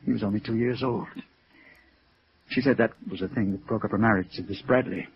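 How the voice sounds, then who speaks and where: A man's voice: lowish voice, brisk, lightly acted. An actor playing a lovestruck, stubborn and defensive man in 1950s radio drama, with an earnest, hesitant manner. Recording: dull vintage radio recording.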